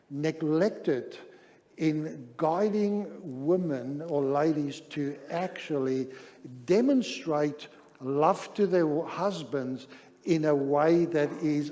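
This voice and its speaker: A male pastor with a South African accent speaks English as an additional language in a mellow, fairly high voice. He presents in a measured sermon style, pausing between phrases. His words ring through a reverberant hall. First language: not English, which is a third language. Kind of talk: sermon-style relationship seminar talk. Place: large church hall, speaking to an audience. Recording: reverberant hall, long echo.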